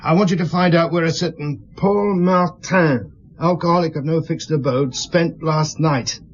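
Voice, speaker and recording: An actor playing a patient police inspector in a radio drama, with measured, polite, probing questions. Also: male voice, fairly high pitch, dull audio, close to an everyday voice. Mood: brisk, businesslike.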